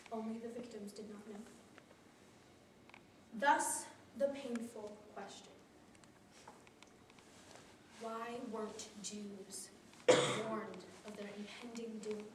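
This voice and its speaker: A teenage girl giving a memorized competition speech with a solemn, deliberate delivery that drops to hushed tones. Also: a little high, slight background noise. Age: teens. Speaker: student speaker. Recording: roomy echo from a distant camera.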